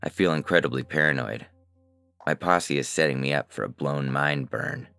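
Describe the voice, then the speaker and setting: A man plays a jaded, paranoid hacker telling his own story in a science fiction audiobook. His very deep voice is terse and sardonic, recorded dry and close, with faint music behind. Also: moderate pace, creaky, pushed and bright, clean tone, no echo, full character voice. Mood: wary. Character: weary, paranoid male hacker narrator, sardonic and gloomy. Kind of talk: first-person science fiction audiobook narration.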